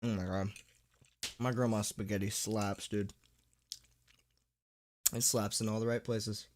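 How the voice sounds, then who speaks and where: Low-voiced, sick male streamer chatting casually. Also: flat delivery, measured pace, darkish tone. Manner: informal slangy young-streamer talk with frequent "dude" and "man". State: sick, with a sore throat; tired. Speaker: livestream host and horror story narrator. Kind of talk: casual livestream chat, reading and answering viewers.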